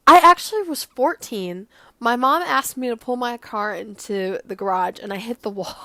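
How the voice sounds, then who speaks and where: A teenage girl hosting her own podcast, slightly hoarse from a cold, chatting casually and rambling with lots of fillers. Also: a little high, a bit bright, dry recording. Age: teens. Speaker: podcast host. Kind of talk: casual solo podcast chat.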